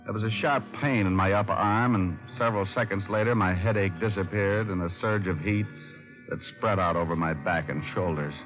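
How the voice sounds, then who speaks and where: An actor playing a terse, world-weary private detective in an old-time radio crime drama. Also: male voice, low-pitched, normal speed, natural voice. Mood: grim, dazed. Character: hard-boiled male private detective narrating his own story. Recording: muffled old radio recording with music.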